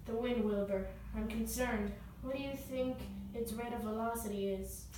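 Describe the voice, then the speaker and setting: A woman's voice: fairly high pitch, steady tone, normal speed, close to an everyday voice. A woman playing an earnest, cautious young male inventor in an amateur radio play. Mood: concerned. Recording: echoey room, distant mic, noisy background.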